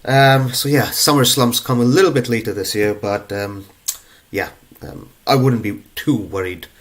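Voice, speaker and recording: A man's voice: medium pitch, moderate pace. A young adult man with a cold, hosting a live online prize draw in a casual, chatty way with plenty of ums and uhs. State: stuffed up with a cold. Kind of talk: casual live-stream chat.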